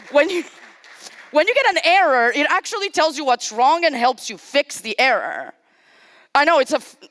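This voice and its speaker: A woman's voice: sits high, fairly bright tone, no room sound. A young woman from Portugal, giving a casual, self-deprecating live-coding talk on a conference stage. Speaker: conference speaker.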